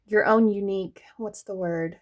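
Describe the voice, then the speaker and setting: A woman's voice: medium pitch, fairly even intonation, moderate pace, highs cut off. A woman from the Southern US with a light Southern accent, giving a chatty tarot reading that speaks straight to her viewers. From Southern United States. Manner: chatty, addresses viewers directly, laughs often. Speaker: tarot reader.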